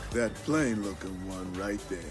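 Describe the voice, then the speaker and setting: An actor playing an earnest, streetwise street-racing crew leader in a video game, talking colloquially and reflectively over music. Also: male voice, on the low side, unhurried, close to an everyday voice. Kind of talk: video game cutscene narration and dialogue. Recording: music under the voice.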